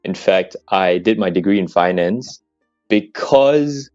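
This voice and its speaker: A man's voice: deep voice, animated, takes it slowly, a little mellow, no room sound. A young man from Malaysia, a startup co-founder, telling his story casually as an interview guest. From Kuala Lumpur, Malaysia. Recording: dull lo-fi mic, faint music.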